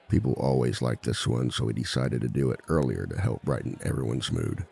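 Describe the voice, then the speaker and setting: Very deep, gravelly villain lecturer, acted by a man. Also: darkish tone, exaggerated voice. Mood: welcoming, composed. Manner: measured, polite, dryly authoritative. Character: composed, dry-witted male villain teaching a relationship seminar. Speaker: seminar instructor. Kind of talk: scripted ASMR roleplay monologue.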